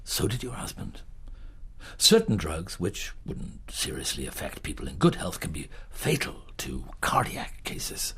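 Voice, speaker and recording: An actor in a radio drama playing a shrewd, relentless middle-aged detective chief, questioning deliberately with pauses in a husky, gravelly voice. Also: male voice, deep voice, wildly swooping pitch, a bit bright, heavily acted. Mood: accusing, measured.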